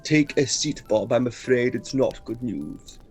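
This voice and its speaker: An actor playing an older, effusively generous Victorian gentleman in a theatrical radio play style. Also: mid-range voice, measured pace, faint music behind, natural voice. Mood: troubled.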